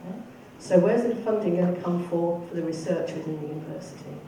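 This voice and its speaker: A council candidate in a debate, speaking in a measured, explanatory way. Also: mid-range voice, dark tone. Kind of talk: debate speech. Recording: echoey hall, far from mic, noise.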